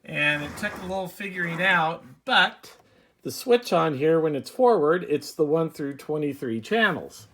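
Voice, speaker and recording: A man hosting a hobby video, explaining casually and unscripted, with halting pauses mid-sentence. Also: on the high side, expressive, fairly bright tone.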